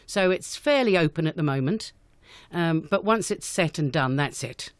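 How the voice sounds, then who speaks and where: A woman's voice: low-pitched, lively intonation, moderate pace, no room sound, studio quality. A woman answering questions in an unscripted radio interview, chatty and enthusiastic as a guest director. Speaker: guest, amateur pantomime director.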